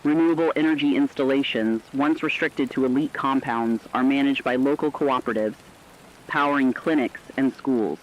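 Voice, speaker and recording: A man's voice: mid-range voice, moderate pace, muffled recording, slight background noise, close to an everyday voice. A man playing a hopeful radio co-anchor, delivering scripted news reports in a formal, earnest manner. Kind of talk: scripted news broadcast narration.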